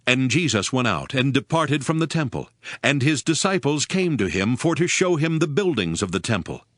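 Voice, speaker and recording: A man's voice: medium pitch, big pitch swings, normal speed, no echo, clean studio sound. A man narrating scripture from a script in a formal storytelling manner. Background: background music.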